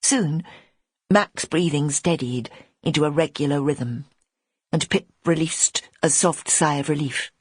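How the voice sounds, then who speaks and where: A woman reading an audiobook as narrator, with measured, expressive storytelling. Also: low voice, breathy edge, no echo, clean studio sound. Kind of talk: scripted dramatic narration.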